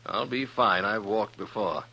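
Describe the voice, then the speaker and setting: An actor playing a gruff, lonely, stubbornly generous drifter with a folksy rural American accent and a blunt manner, in a 1950s radio Western. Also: male voice, fairly low pitch, measured pace, bright, forceful tone, exaggerated voice. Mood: gruff, insistent. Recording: noisy vintage radio recording.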